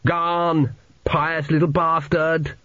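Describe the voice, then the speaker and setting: A man's voice: sits high, huge pitch swings, fairly quick, husky, dry recording, muffled recording, pitched above the performer's own voice, heavily acted. A man voicing a skinny, indignant boarding schoolboy with a whining, sneering manner, as in an audiobook. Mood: scornful.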